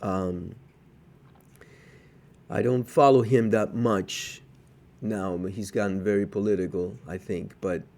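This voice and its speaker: Low, mellow-voiced man from Cuba. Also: fairly even intonation, vocal fry. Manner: measured, cautionary, with frequent hesitations. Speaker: podcast co-host. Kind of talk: casual podcast chat.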